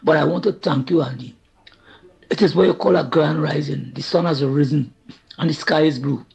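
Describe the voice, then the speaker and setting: A man with a Trinidadian accent calling in to a show, speaking in an earnest, preacherly way with a gravelly, husky voice. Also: on the high side, moderate pace, a little mellow. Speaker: caller. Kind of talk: impassioned call-in testimony with religious language. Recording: dull lo-fi call audio.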